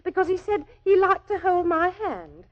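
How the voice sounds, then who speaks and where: A woman's voice: very high-pitched, animated, moderate pace, lo-fi sound. A woman playing a naive, deferential young working-class London typist in love, with an English accent, earnest and hesitant in 1940s radio drama style. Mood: earnest, fond. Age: young adult. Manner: earnest, chatty, hesitant, ungrammatical.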